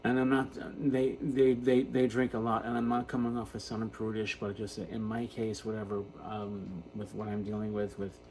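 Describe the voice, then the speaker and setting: A man in his fifties giving an unscripted personal monologue in a halting, self-reflective way. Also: on the low side, little pitch movement, darkish tone, low-quality recording. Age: 50s. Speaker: video creator talking about personal issues. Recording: noticeable background noise.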